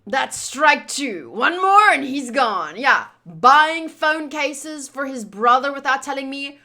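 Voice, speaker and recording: A woman livestreamer chatting casually to her viewers in a playful, teasing and animated way. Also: high voice. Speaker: streamer hosting a game playthrough.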